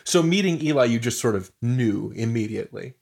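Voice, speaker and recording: A man hosts an interview show in a conversational way. His voice sits at an average pitch and moves up and down with a lot of animation. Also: lively intonation, brisk, creaky, a little mellow. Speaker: podcast host and interviewer.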